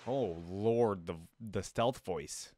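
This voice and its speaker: A man's voice: fairly low pitch, slow pace, noisy background. A young man giving casual commentary as the host of a reaction video. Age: young adult. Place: indoors at a desk.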